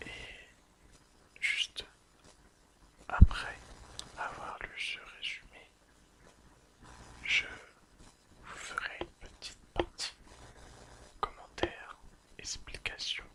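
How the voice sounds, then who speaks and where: Slow, fully whispered ASMR reading. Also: low-quality recording. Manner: soft, unhurried, soothing, whispering throughout. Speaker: ASMR creator.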